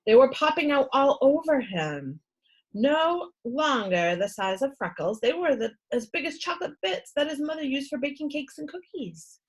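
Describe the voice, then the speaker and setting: A woman reading a storybook aloud to children in an expressive storyteller's style. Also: high-pitched, moderate pace. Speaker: read-aloud host and storyteller.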